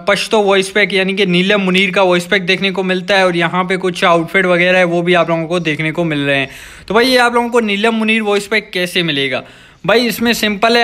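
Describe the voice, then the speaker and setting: A young man with a Pakistani accent and a notably high-pitched voice hosts a gaming video. He talks viewers through the screen casually, circling back over his points as he explains. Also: bright, forceful tone. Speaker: gaming channel host.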